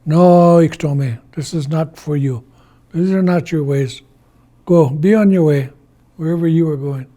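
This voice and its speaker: A man's voice: on the high side, unhurried, warm tone. A man voicing a stern, protective old grandfather in an oral folktale, firm and admonishing. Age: older.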